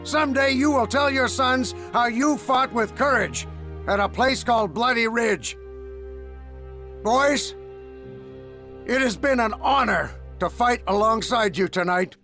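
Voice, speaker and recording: High, forceful Marine officer. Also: male voice, lively intonation, soft background music, heavily acted. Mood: solemn, proud. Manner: measured, oratorical commanding address. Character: Marine commanding officer rallying his battle-weary men, solemn and proud. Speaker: military officer in a war game. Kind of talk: scripted game cutscene speech.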